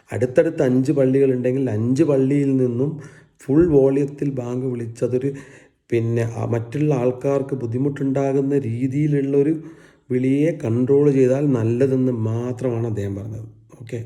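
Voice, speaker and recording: A man with a very dark, soft-edged voice. He talks at a medium pitch in an informal commentary style. His delivery is deliberate and emphatic, with English words mixed in. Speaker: vlogger giving commentary. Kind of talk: informal opinion commentary to camera.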